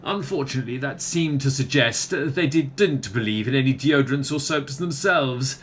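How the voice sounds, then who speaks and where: A man's voice: medium pitch, moderate pace, fairly bright tone, a little hiss or hum, lightly acted. Man playing a pompous, grandiose author. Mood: smug, anecdotal. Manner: grandiose, wordy, theatrical. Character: pompous, self-important touring book author. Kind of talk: comic radio play acting.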